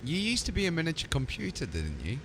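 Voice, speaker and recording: A man's voice: a little high, huge pitch swings, quick pace, background noise. A man in casual role-play chat as a game streamer playing a ship commander.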